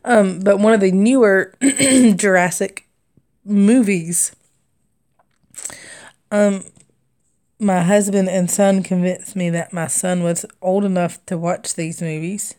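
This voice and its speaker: A woman's voice: medium pitch, steady tone, slow pace, pauses often, a little mellow. A woman in her thirties with a Southern US accent, chatting casually and laughing often as she hosts a live stitching stream and reads out viewer comments. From Southern United States. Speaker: cross-stitch livestream host.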